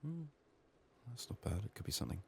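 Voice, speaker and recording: A man doing soft-spoken, often whispered ASMR game commentary, muttering to himself with a voice strained by illness. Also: mid-range voice, big pitch swings, a little fast, creaky, a little hiss or hum. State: sick, resting a strained voice. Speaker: video game streamer.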